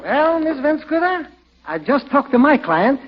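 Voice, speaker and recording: An actor in 1940s radio comedy playing a fussy, wheeling furniture dealer who talks in comically formal, mangled phrases. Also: male voice, extremely high pitch, very animated, measured pace, heavily acted. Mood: businesslike. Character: wheeling, fussy male second-hand furniture dealer, excitable and wisecracking. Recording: dull vintage radio recording.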